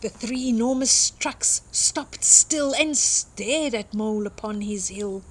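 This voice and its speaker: A woman's voice: on the high side, slow pace. An older woman reading a picture book aloud expressively to young children, with simple explanatory asides. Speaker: children's storytime reader and host.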